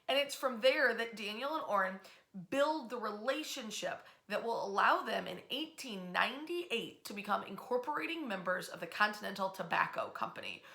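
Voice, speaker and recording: An American woman telling history stories in an informal, conversational way, with dramatic pauses and emphasis. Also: medium pitch, gravelly, bright, forceful tone. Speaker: history storyteller, host. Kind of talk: informal narrated history storytelling. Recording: echoey room sound.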